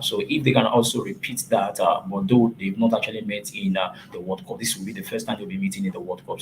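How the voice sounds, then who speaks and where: A man from Africa works through football analysis as a studio pundit. His voice is on the low side and he halts and repeats himself. There is clear ambient noise behind him. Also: rough recording. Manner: halting, repeats words while searching. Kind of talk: unscripted match analysis.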